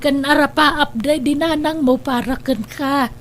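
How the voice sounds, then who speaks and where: A woman's voice: sits high, steady tone, unhurried, a little hiss or hum. A woman playing a tender, emotional elderly grandmother in a radio drama, with a Filipino accent. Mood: proud, fond. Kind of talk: Ilocano radio drama acting.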